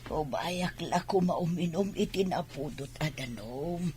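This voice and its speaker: An actress playing an ailing, gentle village mother in an Ilocano radio drama, very husky and breathy, with an Ilocano accent. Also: low-pitched, expressive, measured pace, crowd noise. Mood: weak, fond.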